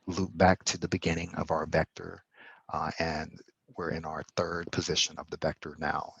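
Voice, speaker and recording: A man explaining code step by step in a tutorial, pausing often and correcting himself as he goes. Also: sits low, creaky, a bit bright, slightly rough, dry recording. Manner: halting, self-correcting explanation with fillers. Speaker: instructor. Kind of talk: screen-recorded coding tutorial.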